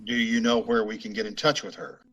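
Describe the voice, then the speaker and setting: A man's voice: lowish voice, flat delivery, a little fast, a bit bright. A man playing a terse, matter-of-fact police detective sergeant in an old-time radio drama, in short clipped sentences. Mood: polite, official.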